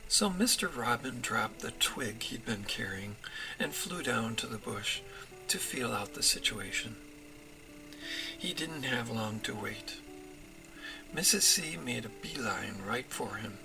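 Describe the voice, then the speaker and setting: A man reading his children's story as narrator, in an expressive storytelling manner. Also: mid-range voice, takes it slowly, over music, rough recording. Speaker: author reading own storybook.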